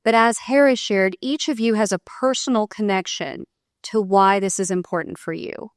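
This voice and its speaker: A woman's voice: mid-range voice, moderate pace, dry recording, clean studio sound. A woman moderating a panel, guiding the speakers and inviting each to share.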